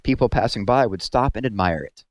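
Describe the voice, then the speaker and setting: A man narrating a story aloud as a scripted read-aloud, with measured, expressive phrasing. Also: on the low side, no room sound.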